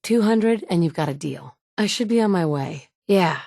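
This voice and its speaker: A woman's voice: fairly low pitch, lively intonation, normal speed, creaky, mellow, dry recording. An actress voicing a wry woman survivor from before the war, blunt and sardonic with caring moments, in game dialogue recorded on a studio mic. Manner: dry and sardonic, shifting between blunt and caring. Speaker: player character.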